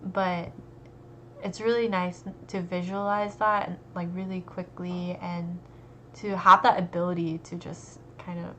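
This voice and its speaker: A young American woman, an art student, talking casually and relaxed, with lots of fillers. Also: on the low side, a little slow. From United States. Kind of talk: casual conversation. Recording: dull lo-fi recording with faint noise.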